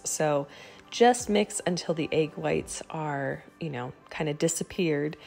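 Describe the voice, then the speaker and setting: A woman's voice: deep voice, creaky, a little mellow. An American woman giving a casual recipe voice-over in a conversational, explanatory way, as a home baking vlogger.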